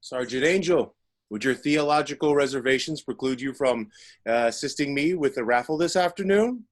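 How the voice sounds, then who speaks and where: A man's voice: mid-range voice, normal speed, natural voice. A man voicing a genteel, fussy male village vicar with a florid, polite way of speaking. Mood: cheery.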